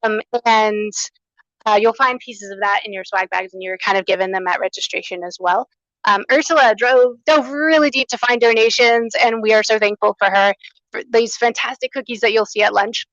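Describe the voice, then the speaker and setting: A woman's voice: medium pitch, normal speed, no echo, lo-fi sound. A woman hosting a small conference, giving upbeat welcoming remarks and housekeeping notes without a microphone. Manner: upbeat, enthusiastic, loud unamplified voice. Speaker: conference coordinator and host. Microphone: no microphone, room recording.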